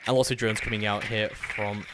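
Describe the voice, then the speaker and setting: A man's voice: fairly low pitch, level tone, normal speed, a loud crowd. A man giving live play-by-play esports commentary in a flowing, detailed running description.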